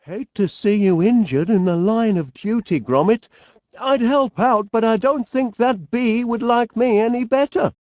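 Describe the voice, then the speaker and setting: An actor playing a cheery, absent-minded middle-aged inventor with a Northern English accent, bumbling and chatty in an animated comedy game. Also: male voice, very high voice, a little slow, no room sound. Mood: gently coaxing. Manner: bumbling, chatty, hesitant, mild exclamations.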